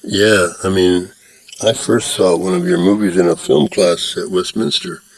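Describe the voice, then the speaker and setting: A man's voice: deep voice, fairly even intonation, unhurried, gravelly, dry recording, low-quality recording. A man voicing a dry, quippy young humorist. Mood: starstruck.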